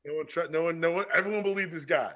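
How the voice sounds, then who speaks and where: A man's voice: a little high, racing, sharp tone. A man from the southern United States co-hosting a podcast, bantering casually and wryly and repeating himself for emphasis. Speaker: podcast co-host.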